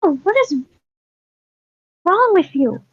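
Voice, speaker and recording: A woman's voice: very high-pitched, big pitch swings, takes it slowly, no echo, full character voice. A woman playing a defiant, squeamish young witch apprentice in a screenplay table read. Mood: pained, indignant. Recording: muffled online meeting audio.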